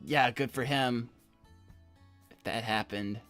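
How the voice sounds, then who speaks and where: A man's voice: mid-range voice, steady tone, slow pace, fairly bright tone, soft background music. A man playing a naive, earnest teenage boy, stammering and hesitant, in an improvised in-character livestream. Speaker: co-host answering viewer messages.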